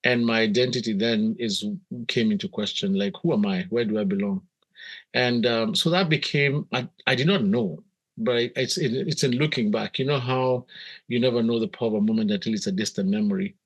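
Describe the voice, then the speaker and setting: A middle-aged man with a Kenyan accent, telling personal stories in a reflective, measured, conversational way as an interview guest. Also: medium pitch, husky. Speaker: podcast guest, missionary pastor.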